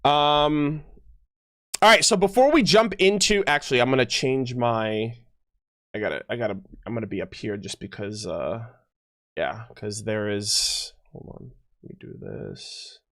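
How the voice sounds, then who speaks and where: A man giving casual livestream commentary, rambling and halting as he thinks aloud. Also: medium pitch, a bit bright, dry recording, clean studio sound. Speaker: streamer. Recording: music playing behind voice. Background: music.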